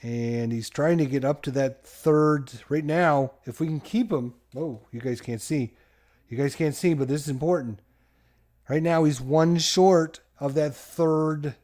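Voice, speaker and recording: Middle-aged male host, board game channel; energetic announcer-style commentary on his own play. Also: on the high side, a little slow. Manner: hyped, sports-announcer style play-by-play to viewers.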